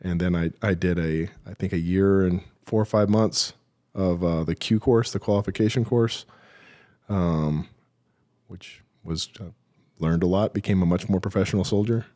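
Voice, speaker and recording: A man's voice: low voice, fairly even intonation, moderate pace, mellow. A middle-aged military veteran, a man, telling his career story in an interview with dry, self-deprecating humor and many hesitations.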